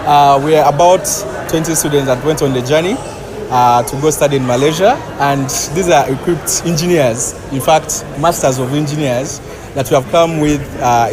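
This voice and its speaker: A young man with a Ugandan accent speaking earnestly and formally as spokesman for a group of graduates. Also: on the high side. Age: young adult. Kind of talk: informal spoken address to media. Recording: outdoor crowd and street sounds.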